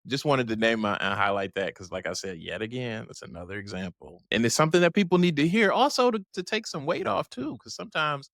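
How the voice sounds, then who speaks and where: A man's voice: medium pitch, lively intonation, fast, gravelly, hardly any pauses, fairly bright tone, no room sound, clean studio sound. A man hosting an interview, speaking reflectively and at length, with frequent restarts. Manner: reflective, rambling, many restarts. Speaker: host. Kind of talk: conversational interview.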